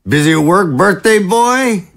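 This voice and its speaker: A man's voice: high voice, animated, measured pace, creaky, a bit bright, full character voice. A man playing a jovial, scheming political chief of staff in an audio drama, with a glib, jocular, theatrical manner. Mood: jovial, teasing.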